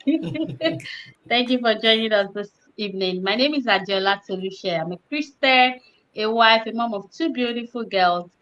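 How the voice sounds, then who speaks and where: A woman's voice: fairly high pitch, moderate pace, fairly bright tone. Woman on a dull call line. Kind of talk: live online chat. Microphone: video call.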